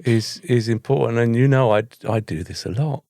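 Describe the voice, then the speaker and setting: A man's voice: mid-range voice, moderate pace, creaky, warm tone, dry recording, clean studio sound. A man with a British accent chatting informally as a podcast co-host. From Britain. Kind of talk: casual chat.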